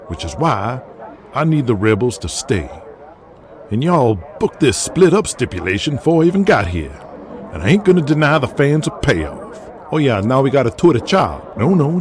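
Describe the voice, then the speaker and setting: A man voicing a persuasive, excitable wrestling booker with a drawl in an audio drama. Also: medium pitch, voice pushed up, lightly acted. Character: folksy Southern male wrestling booker, excitable, persuasive schemer. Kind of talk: audio drama character acting.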